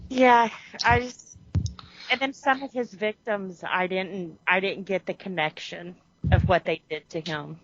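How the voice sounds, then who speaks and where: A woman co-hosting a podcast who talks about a movie in an animated way, laughs often and acts out lines of the characters. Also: mid-range voice, unhurried. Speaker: podcast co-host. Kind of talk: casual movie discussion. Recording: dull lo-fi podcast audio.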